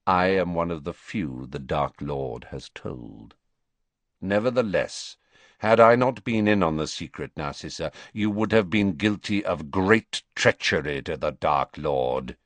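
A man voicing a cold, calculating male wizard in an audiobook, speaking in a measured, deliberate way. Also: low voice, expressive, fairly bright tone, dry recording, pitched below the performer's own voice, full character voice. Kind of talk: audiobook character voice.

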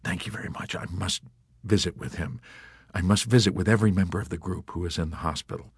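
Guy reading as a meek young chaplain, soft mumbly hesitant voice, really dry recording. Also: deep voice, very fast, breathy edge, no echo. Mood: hushed, polite. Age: 30s. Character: shy, timid, apologetic military chaplain in his thirties.

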